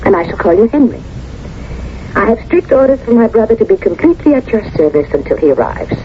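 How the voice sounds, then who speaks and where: A woman's voice: on the high side, sing-song, fast talker. An actor playing a poised German businesswoman of about forty in a radio drama, formal, precise and coolly flirtatious. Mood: gracious, probing. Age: 40s. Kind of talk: 1970s radio drama acting. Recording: muffled 1970s radio recording, faint noise.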